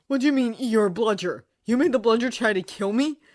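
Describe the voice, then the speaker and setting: A woman's voice: low voice, animated, a little fast, a little mellow, slight background noise. A woman voicing a young schoolboy hero, blunt, snappish and sarcastic, in close to her own voice. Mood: sharp.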